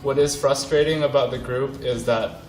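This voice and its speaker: A man's voice: medium pitch, fairly even intonation, normal speed, darkish tone, slight background noise. A young man, an engineering student, talking casually and self-deprecatingly about his group. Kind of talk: casual testimonial. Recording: echoey room with music underneath.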